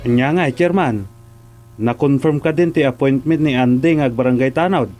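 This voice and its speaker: A man playing an excitable, fretful village sidekick in a comedic radio drama. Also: medium pitch, fairly quick, faint music behind, natural voice. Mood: deferential. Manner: exclaiming, fretful.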